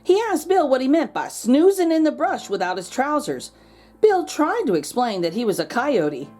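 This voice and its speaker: A woman's voice: sits high, sing-song, normal speed, a little mellow, soft background music. A woman reading a children's picture book aloud expressively, drawing out key words for emphasis. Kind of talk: expressive storybook read-aloud.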